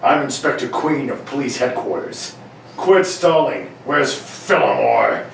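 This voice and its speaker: A man's voice: mid-range voice, expressive, slow pace, creaky. A man playing a brusque, impatient police inspector in an amateur 1940s-style radio play, barking questions and orders. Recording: echoey room, distant mic, faint noise.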